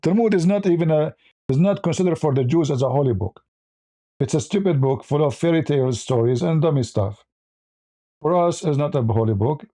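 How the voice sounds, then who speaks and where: A man's voice: fairly high pitch, warm tone, no echo. A man who speaks English as a second language, giving combative, informal religious commentary full of repeated rhetorical questions. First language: not English.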